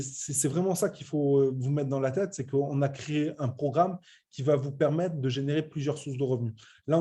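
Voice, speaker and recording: Male, late 30s, soft dark tone, medium pitch, informal presenter who stresses points and restarts often. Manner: hesitant, repetitive, emphatic. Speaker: web entrepreneur presenting a training programme. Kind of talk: informal online business presentation.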